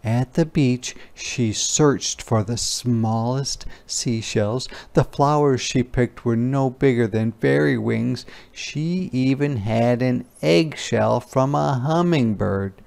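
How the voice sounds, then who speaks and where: A man narrating a children's picture book aloud in a deliberate, expressive storytelling style. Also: medium pitch, gravelly.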